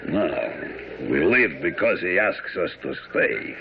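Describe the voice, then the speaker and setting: An actor playing a guarded, mysterious Romany camp leader in a radio drama, curt, cryptic and solemn. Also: male voice, low voice, animated, takes it slowly, gravelly, heavily acted. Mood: commanding. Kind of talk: 1970s radio drama acting. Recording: muffled, noisy old radio recording.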